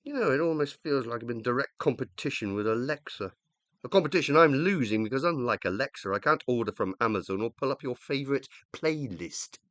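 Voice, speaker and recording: An actor playing a dead scientist husband in a radio play, middle-aged and British, pompous, plaintive and indignant. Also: male voice, mid-range voice, expressive, fairly quick, fairly bright tone, no echo, lightly acted. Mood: aggrieved. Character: dead scientist husband living as an uploaded consciousness, needy, indignant. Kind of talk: radio play dialogue and monologue.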